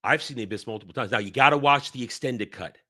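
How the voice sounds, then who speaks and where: A man's voice: medium pitch, quick pace, pushed and bright, dry recording, clean studio sound. A man co-hosting a casual movie review show, talking in a loose, rambling way with frequent restarts and fillers. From Northeastern United States.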